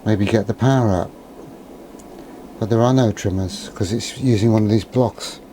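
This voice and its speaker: A man with a fairly low, very mellow voice talks through a hands-on demonstration. He is understated and musing, often asking himself questions as he thinks aloud. There is some faint noise behind him. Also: moderate pace. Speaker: electronics hobbyist presenter.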